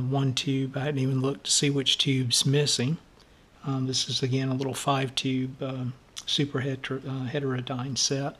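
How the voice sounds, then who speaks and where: A man hosting a radio restoration channel, talking casually to viewers in a slightly scratchy, soft voice and stopping often on uh and um as he tells stories. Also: mid-range voice. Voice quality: halting, many uh and um fillers. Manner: friendly, storytelling. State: scratchy, somewhat soft voice from allergies. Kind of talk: casual show-and-tell talk to viewers.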